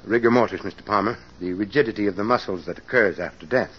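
A man's voice: fairly low pitch, quick pace, a little hiss or hum, natural voice. A man playing a formal, precise phony psychologist with murder to hide, in mid-century radio drama style. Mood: grave, precise. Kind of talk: 1940s-50s radio detective drama acting. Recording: muffled vintage radio recording.

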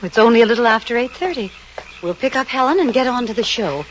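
Woman playing a headstrong lady, lively higher voice. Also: natural voice. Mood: firm. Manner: firm, brisk, dismissive. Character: headstrong, self-possessed maiden lady. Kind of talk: 1940s-50s radio drama acting. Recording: muffled, noisy old radio recording.

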